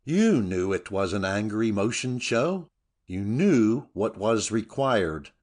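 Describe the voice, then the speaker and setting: A man's voice: on the low side, very animated, takes it slowly, a little mellow, exaggerated voice. A man playing an irate, snappish older doctor in a dramatic audiobook, with emphatic, indignant delivery. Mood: furious, accusing.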